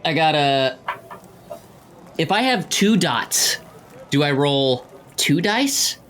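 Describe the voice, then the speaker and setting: A man's voice: fairly high pitch, very animated, slow pace, a bit bright, slight background noise. A man casually describing his character's moves as a player in an improvised tabletop game. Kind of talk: casual improvised roleplay chat. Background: faint music.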